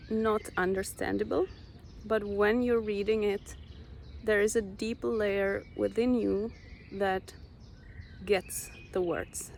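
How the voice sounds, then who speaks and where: A young Czech woman with a Czech accent, slowly sharing a personal spiritual story with many pauses. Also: mid-range voice, vocal fry, a little hiss or hum. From Czech Republic. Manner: slow, reflective, pausing often. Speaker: vlogger.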